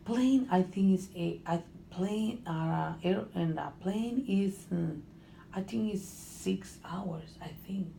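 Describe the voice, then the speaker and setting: A middle-aged woman from Honduras with a Spanish accent, speaking English as a second language in casual conversation, halting and often searching for words. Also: lowish voice, gravelly, mellow. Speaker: interviewee. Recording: echoey room with faint noise.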